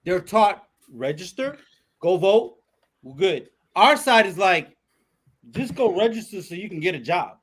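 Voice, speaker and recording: A man's voice: high-pitched, unhurried, husky. A man co-hosting a casual podcast, talking politics with friends, swearing freely and restarting his sentences. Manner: animated, swearing, many restarts. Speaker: podcast co-host.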